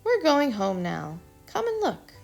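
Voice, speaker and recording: A woman voicing an eager little acorn boy who talks excitedly and sometimes crossly. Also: sits high, unhurried, gravelly, faint music behind, pitched above the performer's own voice, heavily acted.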